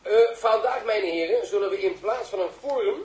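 An actor playing a fussy, bossy quiz host in a 1960s radio drama, formal and officious. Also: male voice, sits high, fairly quick, a little mellow. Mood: officious, insistent. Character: fussy, bossy male TV quiz host directing a staged scene. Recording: roomy old radio recording.

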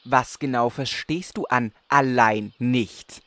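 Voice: mid-range voice, takes it slowly, a bit bright, dry recording. An actor playing an earnest, exasperated young adventurer with a sarcastic, incredulous manner in a comic fantasy radio play. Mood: impatient.

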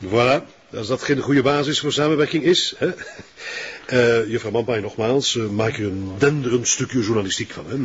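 An actor playing a smarmy, self-important middle-aged police chief with a Flemish accent, often hesitating and chuckling. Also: male voice, medium pitch, normal speed, lo-fi sound, natural voice. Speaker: police commissioner. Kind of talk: naturalistic TV crime drama acting.

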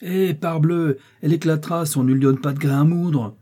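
A man's voice: medium pitch, a little fast, mellow, husky, pitched above the performer's own voice, natural voice. A man voicing a stern, irritable miller in a storybook reading, curt, scolding and commanding. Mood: testy. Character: stern, irritable male miller, the master of the mill.

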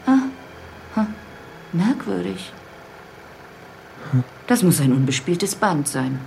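An elderly, proud widow in a radio drama. The low voice is very animated and creaky, guiding guests reverently. Faint ambient noise sits behind it. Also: female voice, slow, full character voice. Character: proud elderly widow showing her late writer husband's house. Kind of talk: radio drama acting as a house tour.

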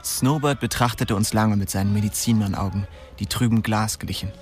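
A man's voice: lowish voice, steady tone, brisk, close to an everyday voice. An actor playing a tough, restless young man who tells his own story bluntly and intensely in a radio drama. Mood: grim. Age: young adult. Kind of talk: first-person radio drama narration. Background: music.

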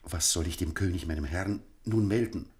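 An actor playing a formal, anxious Spanish ambassador in a German radio play, courtly, measured and insistent. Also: male voice, deep voice, a little mellow, lightly acted. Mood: subdued.

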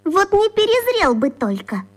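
A woman's voice: very high voice, sing-song, measured pace, heavily acted. A woman playing a smug, pushy schoolgirl in a children's radio play, with girlish tones and a theatrical, taunting delivery. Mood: mocking.

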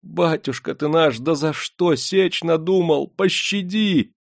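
A man's voice: medium pitch, animated, normal speed, no echo, clean studio sound, voice pushed up, close to an everyday voice. A man voicing a pleading, protective peasant cook woman in an audiobook, with a wailing, protesting manner.

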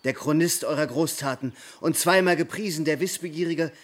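An actor playing a young, big-mouthed bachelor in a radio drama, flattering with mock reverence and grand words. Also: male voice, a little high, brisk, natural voice. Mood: fawning, grandiose. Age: young adult.

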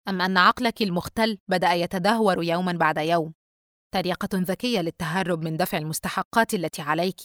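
A woman's voice: lowish voice, quick pace, fairly bright tone, no room sound, studio quality, lightly acted. A woman as a cold, taunting teenage brother. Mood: mocking. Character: callous, mocking teenage brother, sly and indifferent. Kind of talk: dramatised audiobook dialogue.

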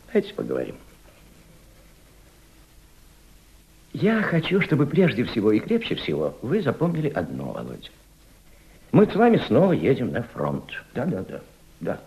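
An actor playing an impassioned senior Soviet diplomat in a radio drama, mentoring a young subordinate with emphatic, didactic rhetoric and deliberate repetition. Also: male voice, medium pitch, huge pitch swings, a little mellow, dull audio. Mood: firm, fatherly. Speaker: actor in an audio play.

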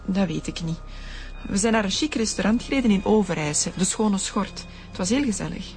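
A frightened young Flemish woman , played by an actress, giving testimony in a radio crime drama. Her medium-pitched voice is earnest and a little defensive. Faint music runs underneath. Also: brisk, a little mellow, lightly acted. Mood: earnest recounting.

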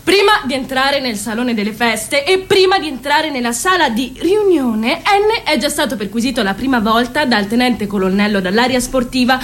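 A woman's voice: sits high, expressive, normal speed, hardly any pauses, fairly bright tone. A woman acting as a mocking chorus narrator in a radio play, making pointed descriptive asides. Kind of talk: theatrical radio drama narration.

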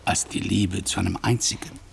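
Gravelly, husky mature man. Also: low voice, fairly even intonation, moderate pace, heavily acted. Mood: contemplative. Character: mature man of fifty-five, philosophical about love. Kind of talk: radio drama acting.

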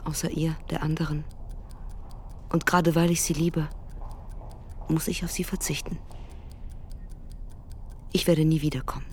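An actress playing a hurt, devoted young woman in love, speaking pleadingly and deliberately in a radio drama. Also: low voice, darkish tone, slight background noise. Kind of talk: dramatic radio play acting.

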